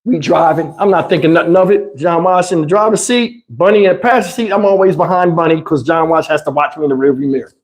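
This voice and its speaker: A man telling personal stories in a casual, animated, slangy way, swearing freely and acting out what people said. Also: on the high side, quick pace, hardly any pauses. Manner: acts out dialogue and sound effects. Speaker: storyteller host. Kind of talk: animated casual personal storytelling with acted quotes.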